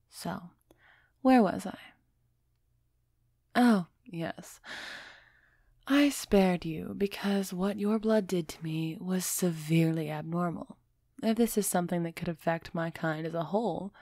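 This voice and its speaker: Woman acting as a slow, creaky-voiced ancient vampire lady. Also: fairly low pitch, no echo, clean studio sound. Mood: playful, then earnest, explaining. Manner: slow, measured, softly teasing, addressing listener directly. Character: centuries-old female vampire, refined, hospitable, worldly, gently imperious. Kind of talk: intimate ASMR audio roleplay.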